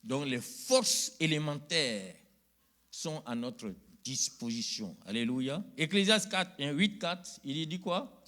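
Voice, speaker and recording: High, bright preaching man. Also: lively intonation, takes it slowly. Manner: call-and-response preaching, rising to loud emphatic peaks. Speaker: pastor. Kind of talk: sermon. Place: church.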